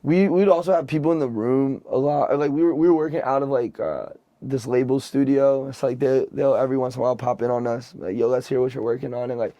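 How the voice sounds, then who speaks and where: A man's voice: mid-range voice, steady tone, fast, mellow, dry recording, lo-fi sound. A young man, a rapper, chatting casually on a podcast, rambling and leaning heavily on filler words. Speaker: rapper guest.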